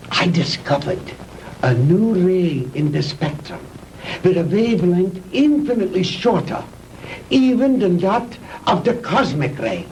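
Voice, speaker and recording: Slow, pompous mad scientist. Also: male voice, on the high side, a little mellow. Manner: pompous, lecturing, theatrical deliberate pauses. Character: proud, vengeful mad scientist from a defeated wartime nation. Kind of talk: 1960s B-movie sci-fi acting. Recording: distant, noisy old 1960s film soundtrack.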